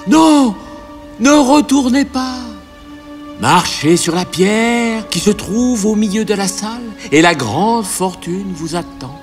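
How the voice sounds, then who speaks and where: A man plays a mysterious storybook spirit speaking from stone. The voice is solemn and commanding, slow yet swinging widely in pitch. Music runs underneath. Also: expressive. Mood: solemn, urging. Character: mysterious commanding voice from inside a rock. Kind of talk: acted storybook dialogue.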